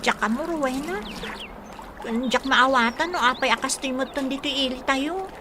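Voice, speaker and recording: A woman's voice: high-pitched, normal speed, noisy background, natural voice. A woman playing a worried, frustrated young village daughter in a radio drama. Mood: anxious.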